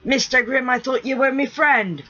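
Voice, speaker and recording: A man voicing an indignant, filthy pirate rigging rat who protests in rough pirate dialect. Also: extremely high pitch, moderate pace, sharp tone, voice pushed up. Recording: dull lo-fi recording.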